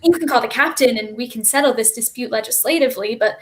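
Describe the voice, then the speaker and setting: Woman doing a high voiced kid astronaut, flustered, argues back, quick witted, stage reading. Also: a little hiss or hum, close to an everyday voice. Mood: defiant. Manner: flustered yet argumentative. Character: defiant, quick-witted child spacewalker stowaway. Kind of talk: theatrical script reading over a livestream. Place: remote online stream.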